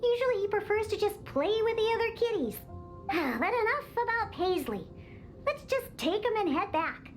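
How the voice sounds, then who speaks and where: A woman's voice: extremely high pitch, big pitch swings, normal speed, soft background music. An actress playing a feisty young cat-girl bartender in a video game, with a cutesy, bossy, indignant manner. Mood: grumbling, then eager, bossy. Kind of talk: video game character acting.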